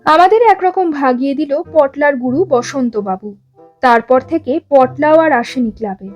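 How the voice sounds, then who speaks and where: Woman, high voice, expressive audiobook storyteller, dramatic scripted reading, background music. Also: moderate pace. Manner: expressive, acts out characters' dialogue.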